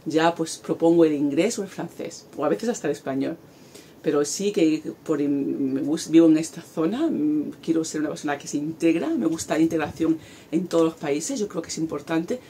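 Woman, Spanish accent, forties, lively vlog talk, warm mellow tone, faint outdoor background. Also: on the low side, slight background noise. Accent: Spanish from Spain. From Spain. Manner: animated, gestures a lot with her hands. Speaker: vlogger. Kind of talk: casual talk to camera. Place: outdoors in a garden.

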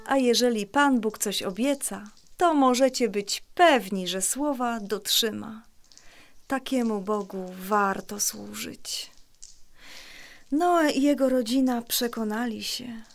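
A woman playing a patient mother who explains a Bible story to children in a children's audio drama, reassuring and clear. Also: mid-range voice, slow, no room sound. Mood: reverent, assured.

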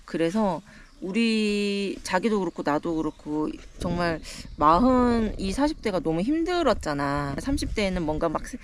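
A woman's voice: on the low side, lively intonation, normal speed, slight background noise. Reflective woman in her forties. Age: 40s. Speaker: vlogger. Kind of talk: casual chat while hiking. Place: mountain trail outdoors.

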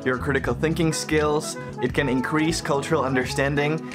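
A man in his twenties whose first language is Czech, talking to camera in an animated, casual vlog from a small bedroom. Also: a little high, moderate pace. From Czech Republic. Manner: chatty and animated, self-deprecating jokes. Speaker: language vlogger. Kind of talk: casual scripted talk to camera. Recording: music playing under the voice.

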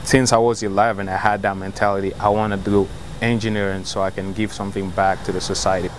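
An earnest young man, speaking in a somewhat low voice and pausing on fillers. He is recorded in a lab office with a faint hum behind him. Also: little pitch movement. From Mali. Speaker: start-up co-founder. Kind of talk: interview answers.

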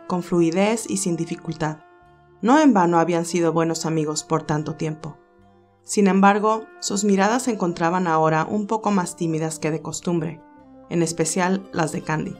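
A woman narrating a romance story in a soft, measured storytelling voice. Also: on the low side. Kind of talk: audiobook narration of fan fiction.

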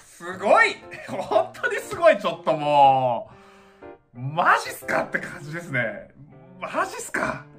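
Young guy doing casual reaction commentary, super excitable, voice jumps all over, some music behind him. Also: high voice, a little slow. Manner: excitable, exclaiming in awe. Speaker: dance reaction channel host. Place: small indoor room at home.

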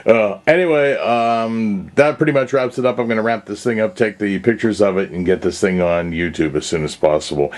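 A man's voice: lowish voice, fairly quick, hardly any pauses. A man giving a casual, unscripted, plain-spoken demonstration as a hobbyist restorer, recorded indoors on a camera's built-in microphone.

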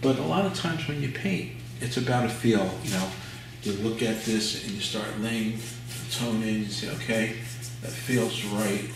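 An older man, giving a relaxed painting demonstration at his easel and thinking aloud with fillers and restarts. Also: lowish voice, a little slow, hardly any pauses. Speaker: painting instructor. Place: art studio at an easel, indoors. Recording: very echoey room, mic far off, audible noise.

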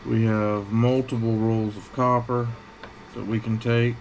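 A man's voice: on the low side, level tone, a little slow, darkish tone, highs cut off. Guy doing a casual how-to at his workbench, talks in short bits while working, pretty noisy background. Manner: plain-spoken, talking in short phrases while working. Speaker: scrapping tutorial host. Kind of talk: casual hands-on how-to narration. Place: indoor cluttered workbench. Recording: clearly noisy workshop ambience.

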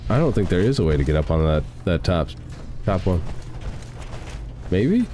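A man's voice: deep voice, sing-song, normal speed, dark tone, a little hiss or hum. A young man with a stuffy nose from a cold, chatting casually as he plays a game and reads its text aloud at a desk mic at home. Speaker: gaming streamer. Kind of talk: casual let's-play commentary.

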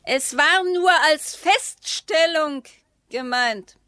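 A woman's voice: high voice, slow pace, sharp tone, no room sound, studio quality, heavily acted. An actress playing a sceptical, needling wife in a radio drama, terse and sardonic, with bitter mockery in her delivery. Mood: dismissive, cool. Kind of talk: German radio drama acting.

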